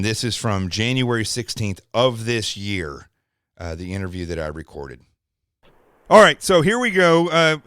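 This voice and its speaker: A man's voice: medium pitch, a bit bright, clear, resonant tone, dry recording. American guy hosting his podcast, rambly with lots of restarts, dry close mic. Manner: rambling, restarts, quick asides. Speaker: podcast host. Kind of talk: casual podcast talk.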